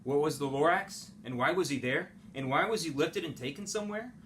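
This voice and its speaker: A man's voice: fairly high pitch, a little fast, fairly bright tone, a little hiss or hum. A man reading a children's story aloud like a teacher, expressively and with dramatic phrasing.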